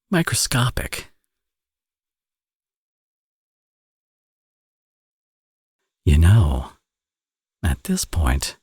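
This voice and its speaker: Man acting a deep, husky flirtatious villain. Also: no echo, studio quality, exaggerated voice. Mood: sardonic, needling. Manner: teasing, sardonic, drawling with drawn-out phrases. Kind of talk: ASMR romance audio roleplay, intimate acting.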